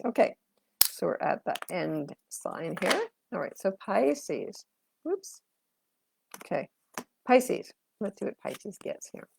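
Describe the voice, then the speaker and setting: A woman's voice: deep voice, vocal fry, a little mellow, dry recording. A middle-aged woman reading tarot cards on a live stream. She talks in a chatty, rambling way with many fillers and answers viewers' comments, and her voice is going. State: losing her voice. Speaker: tarot reader and astrologer.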